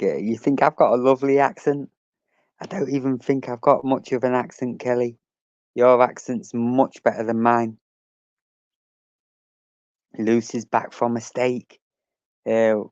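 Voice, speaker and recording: A man's voice: medium pitch, darkish tone, clear, resonant tone, no echo, highs cut off. A man hosting a casual livestream, chatting and reading out messages from his chat, swearing now and then.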